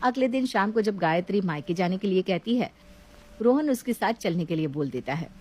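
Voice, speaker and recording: A woman's voice: mid-range voice, lively intonation, brisk, a little mellow. A woman storyteller reading scripted narration of a moral family story.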